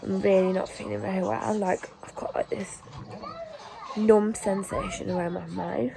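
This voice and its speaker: Croaky, gravelly-voiced sick mum. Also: female voice, mid-range voice, very animated, moderate pace, crowd noise. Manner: tired, plain-spoken, many ums. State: croaky voice from illness. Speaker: family vlogger. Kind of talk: home video diary. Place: family home.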